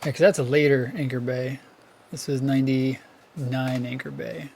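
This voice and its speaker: A man with a hoarse, worn-down voice chats casually about his tape collection, thinking out loud and often correcting himself. His pitch sits in an ordinary middle range, and a faint room hum stays in the background. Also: flat delivery, moderate pace. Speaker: VHS collector channel host.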